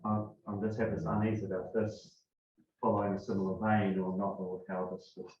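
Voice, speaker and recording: A hesitant man sounds far from the mic in a very echoey room. His fairly low voice comes through dull and lo-fi, with faint noise behind it. He searches for words and pauses often with ums as he asks his question. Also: little pitch movement. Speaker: audience member asking a question.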